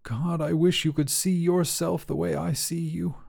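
A man voicing a dying father in a narrated story, weak and loving, with a labored, raspy, wheezing voice. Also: fairly high pitch, flat delivery, moderate pace, a little mellow, voice pushed up. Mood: tender, labored.

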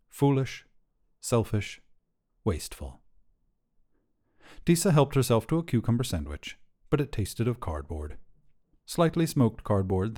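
A man reading short fiction aloud as a narrator, in an expressive scripted storytelling style. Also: mid-range voice.

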